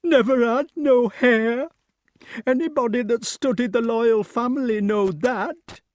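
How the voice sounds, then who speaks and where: A man's voice: very high voice, fairly even intonation, slow, dry recording, clean studio sound, voice pushed up. A man voicing a tearful, devoted old nursemaid in a storybook, wailing with folksy grammar.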